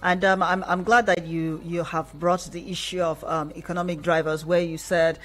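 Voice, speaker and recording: A woman with a Nigerian accent hosting a radio talk show in a measured, conversational way. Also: lowish voice, little pitch movement. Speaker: radio host. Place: radio studio.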